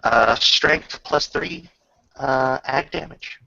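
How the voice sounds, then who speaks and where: Gravelly, bright-voiced man running a tabletop game. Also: mid-range voice, slow, no room sound, lo-fi sound. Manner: informal, descriptive, profane. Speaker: game master. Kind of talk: tabletop game narration, casual.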